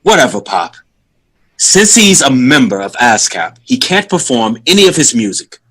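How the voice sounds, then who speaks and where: A cocky young son in a period audio drama. His husky voice leaps around with theatrical, condescending scorn, always ready to taunt. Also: mid-range voice, very animated, normal speed, fairly bright tone, poor audio. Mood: mocking.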